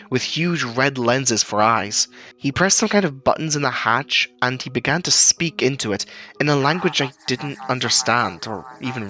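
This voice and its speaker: Man acting bright-voiced, earnest spooky storyteller. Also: mid-range voice, natural voice. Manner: earnest, hesitant, stammering in places. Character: shaken storyteller recalling an eerie childhood sighting. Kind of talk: first-person spooky story narration.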